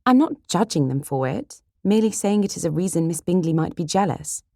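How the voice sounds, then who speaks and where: A woman's voice: fairly low pitch, expressive, a little fast, warm tone, no room sound, clean studio sound, voice pushed up. A woman voicing an outspoken, impatient young sister in a period romance audiobook, with a blunt, emphatic delivery. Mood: matter-of-fact.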